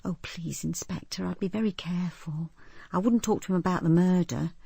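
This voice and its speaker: A woman voicing a timid, polite British spinster in a radio play, hesitant, breathy and apologetic. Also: lowish voice, fairly quick, dark tone, no room sound. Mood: pleading. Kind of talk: radio drama acting.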